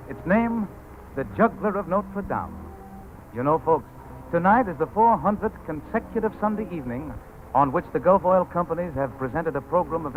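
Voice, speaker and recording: A man hosting a 1940s radio drama, giving a formal, smooth scripted introduction. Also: high voice. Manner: smooth formal announcer delivery. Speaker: radio host. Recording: tinny old 1940s radio broadcast, faint hiss.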